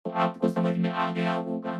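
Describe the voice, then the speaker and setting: A man's voice: normal speed, over music. A man demonstrating in a tutorial, his voice turned into robotic synthesizer chords by a vocoder. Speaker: music software instructor. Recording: very echoey, synth tones over voice.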